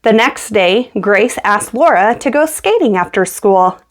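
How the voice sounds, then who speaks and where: A woman's voice: medium pitch, expressive, a little slow. A woman reading a children's picture book aloud as a storyteller, acting out the dialogue as she goes.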